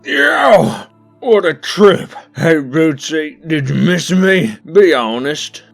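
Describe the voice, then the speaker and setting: Man acting a croaky, cheeky back-from-dead buddy. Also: on the high side, expressive, takes it slowly, faint music behind, full character voice. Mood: playful. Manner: playful, cheeky. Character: cheeky, upbeat man just resurrected, joking. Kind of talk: comic dub acting.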